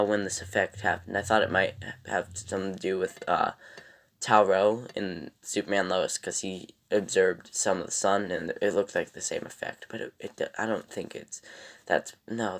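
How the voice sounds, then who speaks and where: A man with a raspy voice casually recapping a TV episode as a reviewer, in a rambling, halting way. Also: deep voice, fairly bright tone.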